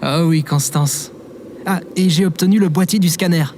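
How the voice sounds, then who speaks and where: A man's voice: fairly high pitch, normal speed, heavy background noise, lightly acted. A man playing a good-natured, bewildered everyman survivor in an audio drama, with a hesitant, naive way of talking. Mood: happy, serene.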